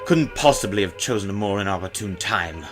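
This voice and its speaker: A man voicing a puffed-up, idle gentleman who styles himself a Count, pompous and put-upon, in a storybook. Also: fairly low pitch, animated, a little fast, over music, natural voice. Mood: peevish, resigned. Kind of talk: storybook character acting.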